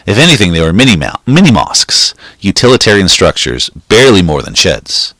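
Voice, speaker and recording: Man, very low voice, story reading, measured pace, dry close recording. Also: no room sound. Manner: steady, measured reading with occasional slips. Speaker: reader. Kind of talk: reading a short story aloud.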